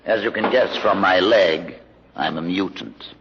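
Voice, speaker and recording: An actor playing an intelligent mutant leader in an old radio drama, speaking in a courteous, measured, formal way with cool pride. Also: male voice, sits low, creaky, noisy background. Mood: calm, courteous. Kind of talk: 1950s radio drama acting. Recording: muffled old radio recording, long reverb.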